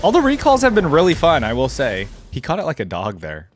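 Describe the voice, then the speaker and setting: Man, gamer reaction commentary, informal, thinking aloud, animated mid-range voice, faint music behind. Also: fairly high pitch, fairly quick. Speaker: gamer reacting to game character skins.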